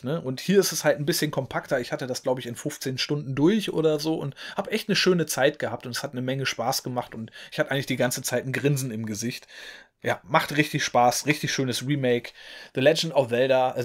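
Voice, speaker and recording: A man, hosting a casual gaming video from his room, rambling with many fillers and tag questions. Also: mid-range voice. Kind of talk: casual talk to camera. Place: home room with game shelves, indoors. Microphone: desk mic in front.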